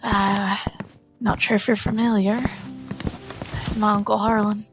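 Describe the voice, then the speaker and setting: A woman playing a gentle, earnest young woman who is a reluctant heroine. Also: mid-range voice, level tone, a little slow, over music, close to an everyday voice, rough recording.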